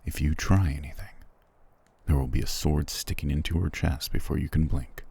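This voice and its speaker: A man playing a cruel, proud, weary soldier prince in an ASMR fantasy roleplay, curt and condescending, with a gravelly, husky voice. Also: a bass voice, normal speed, warm tone, no echo, heavily acted. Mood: grudging, curious. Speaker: voice actor in audio roleplay.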